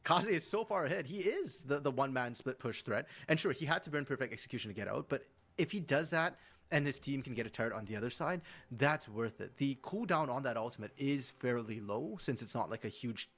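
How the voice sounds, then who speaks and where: A man doing esports colour commentary, analytical and thinking out loud about strategy. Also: mid-range voice, brisk. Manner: analytical, lively intonation. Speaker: colour caster.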